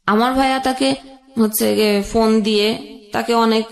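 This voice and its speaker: A young woman telling an emotional personal story as a guest, halting, with pauses and restarts. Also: on the high side, steady tone, vocal fry, roomy sound.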